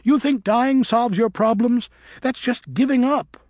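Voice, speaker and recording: A man voicing a young female bat who is angry and grieving, shouting and wailing in a high voice. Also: expressive, slow, dry recording, heavily acted. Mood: raging.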